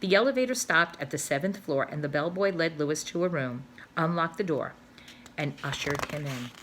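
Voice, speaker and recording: A woman, a teacher, reading a children's novel aloud with expressive storytelling. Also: low voice, normal speed, background noise.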